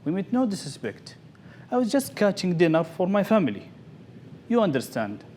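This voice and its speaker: A cool-headed fisherman father, played by a man speaks in a fairly high voice. He is polite, unruffled and matter-of-fact, a character in a stage tall tale. Faint noise sits behind the voice. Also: very animated, normal speed, darkish tone. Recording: noisy live event recording.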